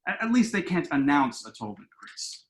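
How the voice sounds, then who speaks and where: A man's voice: fairly high pitch, sing-song, normal speed. A man acting a self-assured, glib son who earnestly explains his schemes in a table-read play, recorded in an echoing room. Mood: earnest, confident. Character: glib, enterprising grown son pitching shady business schemes. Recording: roomy, echoing home recording.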